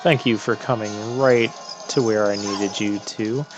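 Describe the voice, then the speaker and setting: A man giving casual, halting commentary as he plays through a video game. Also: lowish voice, steady tone, dull audio. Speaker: gamer narrating a playthrough. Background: video game music.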